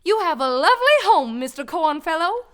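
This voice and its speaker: A woman voicing a very high, bright prim wife. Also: big pitch swings, normal speed, full character voice. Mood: prim chatter. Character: prim, tactless young wife of a reporter. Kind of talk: comedic amateur radio play.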